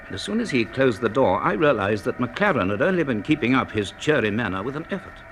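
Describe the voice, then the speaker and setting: A man's voice: medium pitch, big pitch swings, fast, noisy background. A man playing an earnest, educated scientist and narrator in a radio drama. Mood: measured, reflective.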